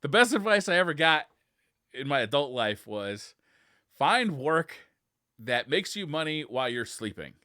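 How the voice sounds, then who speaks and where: A man's voice: fairly high pitch, very animated, moderate pace, pushed and bright, no room sound, studio quality. A man co-hosting a podcast in a casual, conversational style. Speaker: podcast co-host.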